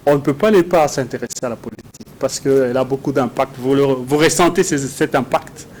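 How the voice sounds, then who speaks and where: A man with a Congolese French accent answering interview questions haltingly, often repeating words as he searches for phrasing. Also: medium pitch, poor audio. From Democratic Republic of the Congo. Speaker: guest, researcher and doctoral student. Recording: clear background noise and ambience.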